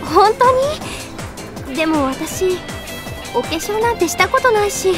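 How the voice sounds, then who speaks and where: An actress playing a gentle, shy and earnest young girl in an anime audio drama, speaking sincerely. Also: very high-pitched, lively intonation, a little slow. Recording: studio drama with music behind.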